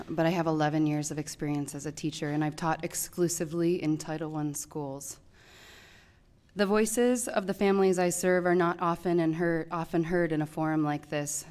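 A woman in her thirties, a teacher reading a prepared public comment at a podium microphone in a large meeting hall, earnest and pleading. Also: sits low. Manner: earnest, pleading, rising to loud emphasis.

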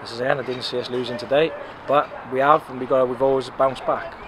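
A man in his thirties, a football club manager, giving a frank, animated post-match interview outdoors beside the pitch, with many "um"s and "you know"s. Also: a little fast. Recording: loud outdoor noise with passing traffic.

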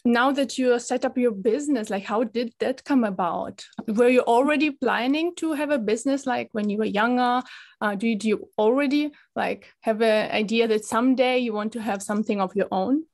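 A woman's voice: a little high, normal speed, darkish tone. A young adult woman hosting an interview over a video call, asking friendly questions full of fillers.

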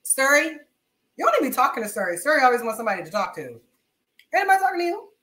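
Young female cooking streamer, bright and higher-pitched, loose conversational delivery to viewers, recorded in a roomy, echoing kitchen. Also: sing-song, very fast. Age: young adult. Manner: informal, slangy, chatting to viewers while moving around. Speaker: cooking livestream host. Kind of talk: casual chatty livestream while cooking. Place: home kitchen, indoors. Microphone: webcam-style mic at arm's length or farther.

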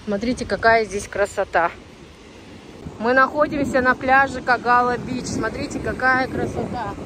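Woman vlogging through loud wind noise. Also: fairly high pitch, moderate pace. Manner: admiring, exclamatory. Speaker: travel vlogger. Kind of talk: casual travel vlog commentary. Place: outdoors, on a windy ocean beach.

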